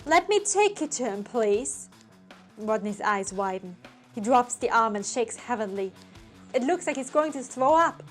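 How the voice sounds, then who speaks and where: A woman storyteller reading a story aloud in an expressive, theatrical way. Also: on the high side.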